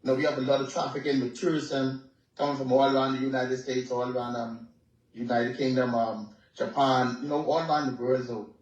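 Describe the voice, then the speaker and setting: Bahamian musician guest, mid-pitched, easygoing, filler-heavy, heard from a distance in an echoey room. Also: male voice, flat delivery, a little fast, dull audio. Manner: laid-back, says "you know" constantly. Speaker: reggae artist guest. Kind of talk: casual interview over a call.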